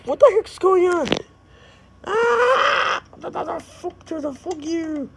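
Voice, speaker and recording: A man doing an exaggerated, excitable amateur parody dub of a cartoon, prone to shouting and yelping. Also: extremely high pitch, takes it slowly, gravelly, slight background noise. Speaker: amateur fan dubber.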